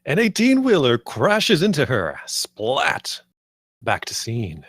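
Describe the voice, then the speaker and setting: Guy reading stage directions, medium pitch, dry close sound, table read. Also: huge pitch swings, slow, a bit bright, dry recording. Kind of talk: scripted narration at a table read.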